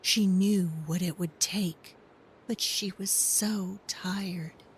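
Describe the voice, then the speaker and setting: A woman narrating a dark story in a hushed, deliberate storybook manner. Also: mid-range voice, fairly even intonation, a little hiss or hum, poor audio.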